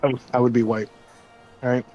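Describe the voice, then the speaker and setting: A man's voice: mid-range voice, level tone, brisk. A man chatting casually in a probing way, often repeating his questions. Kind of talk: casual chat. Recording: slightly dull lo-fi mic, music behind. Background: music.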